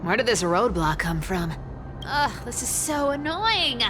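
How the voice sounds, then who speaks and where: A young female game rogue, sardonic and carefree. Her pitch moves about in animated swings with a wry, teasing edge. Music plays clearly behind her. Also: unhurried. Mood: teasing, annoyed. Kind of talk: video game character dialogue.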